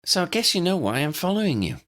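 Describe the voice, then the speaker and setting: A man's voice: sits high, big pitch swings, quick pace, a bit bright, pitched above the performer's own voice. A man voicing a wary, disconcerted young female agent with an American accent. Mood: flustered.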